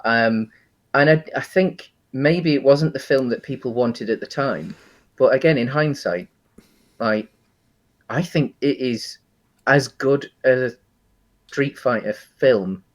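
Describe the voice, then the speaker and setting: A man with a mid-range voice talks as a podcast host in loose, conversational film chat. He is enthusiastic, yet his delivery is halting and rambling, often feeling its way through a thought. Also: medium pitch. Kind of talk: casual film discussion.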